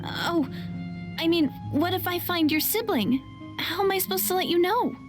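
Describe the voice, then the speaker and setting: A woman's voice: very high-pitched, moderate pace, music in the background. An actress playing an earnest, polite young woman traveler in a video game. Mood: earnest, puzzled. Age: young adult.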